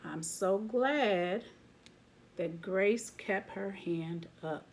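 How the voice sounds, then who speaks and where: A woman reading a children's picture book aloud as a teacher would, expressively, pausing to comment to young listeners. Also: mid-range voice, a little hiss or hum.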